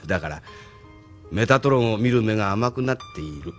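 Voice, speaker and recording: A man's voice: lowish voice, animated, brisk, faint music behind, natural voice. An actor playing a confident, opinionated man who argues assertively in an audio drama. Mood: assertive, critical. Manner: assertive, argumentative. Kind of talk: Japanese audio drama acting.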